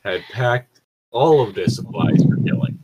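A man's voice: mid-range voice, lively intonation, a little slow, warm tone, dull audio, slight background noise. A man reading fan fiction aloud in a casual group session, swearing and dropping joking, sardonic asides. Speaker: co-host reader. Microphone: own mic on an online voice chat.

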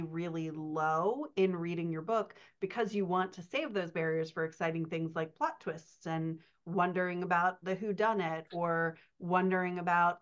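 A woman in her thirties talks shop as a writing coach on a video call, chatty and quick to laugh. Her voice sits fairly low. The call audio is dull and lo-fi. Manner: chatty, laughs often, animated storytelling. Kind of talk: casual podcast chat over video call. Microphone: computer mic on video call.

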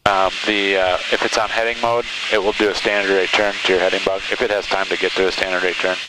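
A man's voice: deep voice, level tone, fast talker. A man working as a flight instructor, explaining casually step by step over a headset intercom in a small plane. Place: small twin-engine plane cockpit in flight. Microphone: aviation headset intercom. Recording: loud engine drone, hissy aircraft intercom. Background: loud engine noise and hiss.

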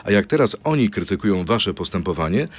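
A man's voice: fairly low pitch, very animated, a little fast, slightly rough. A middle-aged man interviewing a politician in a radio studio, firing pointed, challenging questions into a desk microphone.

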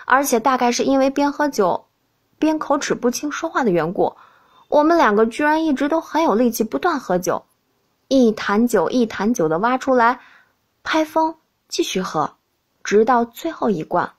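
A woman reading a novel as an expressive audiobook narrator. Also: high-pitched, normal speed.

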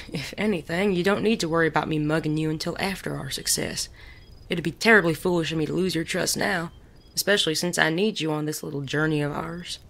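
An actor playing a suave, teasing male gentleman bandit in a Western audio drama, genteel and wry. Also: medium pitch, a little fast, vocal fry. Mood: smooth, reassuring. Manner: genteel, wry, polite wording.

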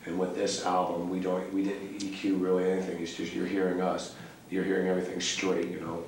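Brief: young man, very deep monotone voice, blunt and profane, echoey room on a distant camera mic. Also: brisk, a little hiss or hum. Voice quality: very low voice. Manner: blunt, profane, laid-back drawl. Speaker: band member being interviewed. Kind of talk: casual backstage interview. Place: cramped backstage room indoors. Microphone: far camera mic.

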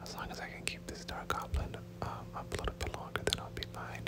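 Whispered voice, ASMR gameplay commentary, casual gamer slang, repeated words, close mic. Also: fast talker. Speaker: gaming video host.

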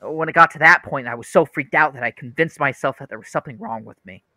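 A man's voice: mid-range voice, quick pace, pushed and bright, no room sound. An actor playing an earnest, troubled young man in a radio play, hesitant and confessional. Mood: confessional, embarrassed.

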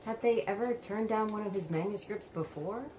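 A woman's voice: mid-range voice, moderate pace, dark tone, slightly rough, close to an everyday voice. An actress playing an inquisitive female documentary interviewer, probing casually in a naturalistic audio drama. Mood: curious.

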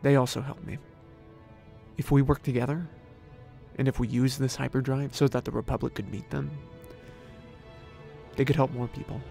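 A man acting in an audio drama as an earnest, awkward, soft-hearted inventor who haltingly searches for words. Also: mid-range voice, steady tone, vocal fry, close to an everyday voice. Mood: earnest, nervous. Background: music.

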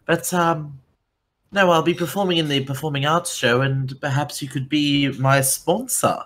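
A man voicing a showy, flattering female satyr performer in a tabletop game. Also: on the high side, moderate pace, close to an everyday voice. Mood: boastful. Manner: smooth-talking, showy, fawning.

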